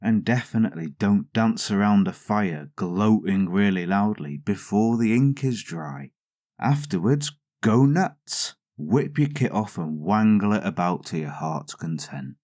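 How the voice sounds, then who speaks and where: A man with a low voice telling stories in a theatrical, wry manner. His intonation moves around a lot, and the recording is close and dry. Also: animated, unhurried, darkish tone, dry recording. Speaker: storyteller, show host. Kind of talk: dramatic fairytale narration with sardonic commentary.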